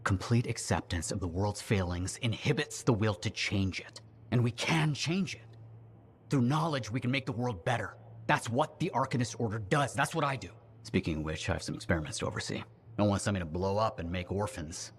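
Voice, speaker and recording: An actor voicing an earnest, bookish scholar with a scrappy streak and an articulate, formal manner in a video game. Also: male voice, fairly low pitch, lively intonation, a little fast, breathy edge. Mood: earnest, bitter. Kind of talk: video game dialogue acting.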